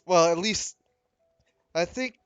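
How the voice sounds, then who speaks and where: Streamer chatting casually over a game, bright clear voice, slow pace, dry close mic, game music behind. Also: medium pitch, dry recording, lo-fi sound. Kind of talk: casual live game commentary.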